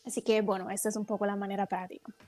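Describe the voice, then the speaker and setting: A woman's voice: mid-range voice, level tone, rapid-fire, a little mellow, soft background music. A woman with an Italian accent, a native Italian speaker answering interview questions as a guest politician, explaining things at length with frequent hesitant fillers.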